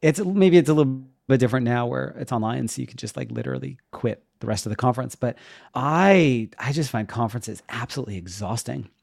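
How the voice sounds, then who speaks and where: A middle-aged man in a casual podcast conversation. He explains himself reflectively in a mid-pitched voice with a creaky edge. The sound is dry, with almost no room. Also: lively intonation, fairly quick, darkish tone, no echo. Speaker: interview guest, web developer and educator.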